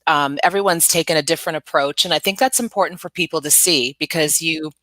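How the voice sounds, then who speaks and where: A woman's voice: deep voice, quick pace, sharp tone, dry recording. A middle-aged woman hosting a live online interview show, speaking in an upbeat, chatty way. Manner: upbeat, chatty, often laughing. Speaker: host.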